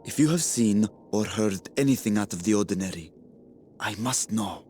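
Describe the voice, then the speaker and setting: A man acting a solemn, formal figure in a video game cutscene, speaking in a grave, measured way. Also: lowish voice, expressive, darkish tone, a little hiss or hum, lightly acted. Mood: grave, urgent.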